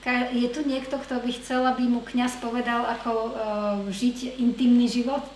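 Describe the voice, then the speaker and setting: A woman interpreting a lecture consecutively, measured and hesitant with fillers as she translates. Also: on the high side, flat delivery, a little mellow. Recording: echoey hall with faint noise.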